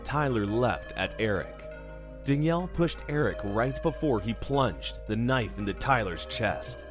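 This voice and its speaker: A man's voice: mid-range voice, expressive, measured pace. A man narrating a scripted horror story in an audio drama. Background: music.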